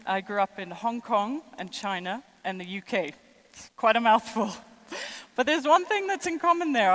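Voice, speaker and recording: A woman's voice: mid-range voice, fairly bright tone, long reverb tail. A woman in her thirties with a mixed international accent, French by mother tongue, giving a chatty, self-deprecating conference keynote. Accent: mixed international, French and American traces. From born in the United States, raised in six countries. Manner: chatty and self-deprecating, with light laughs and fillers. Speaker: keynote speaker. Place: large conference hall.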